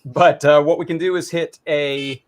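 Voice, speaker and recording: A man's voice: on the high side, steady tone, normal speed. A man giving a casual, informal live demo, explaining technical details in a rambling, self-deprecating way. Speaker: maker presenting a hobby electronics project.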